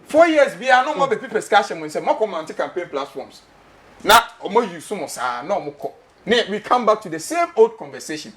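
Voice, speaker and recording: Passionate, high-voiced Ghanaian man hosting. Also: very animated, quick pace, a bit bright. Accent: Ghanaian English. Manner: passionate, emphatic, repeats questions, mixes in Twi. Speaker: host. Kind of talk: animated studio talk show discussion.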